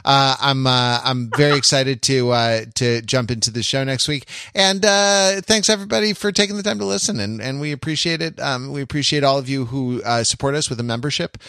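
A man hosting a casual podcast, speaking in a halting, wordy, playfully bookish way. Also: mid-range voice, bright, forceful tone, clear, resonant tone, no room sound, studio quality. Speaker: podcast host.

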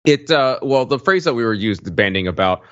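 A man's voice: medium pitch, lively intonation, a little fast, a bit bright, no echo, lo-fi sound. A man on a podcast panel in casual, analytical discussion, choosing his words with some hesitation.

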